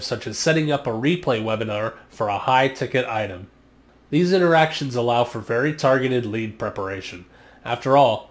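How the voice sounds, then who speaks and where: Earnest man reading a scripted explainer. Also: mid-range voice. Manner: measured, earnest, read-from-script delivery. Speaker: internet marketing vlogger. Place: small indoor home studio. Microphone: studio condenser mic on stand, just off frame.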